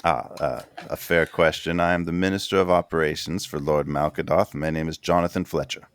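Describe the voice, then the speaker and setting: A man's voice: extremely low pitch, steady tone, brisk. A man voicing a polite, formal male court minister in a tabletop game, speaking courteously with small hesitations. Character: polite stiff male minister, middle-aged.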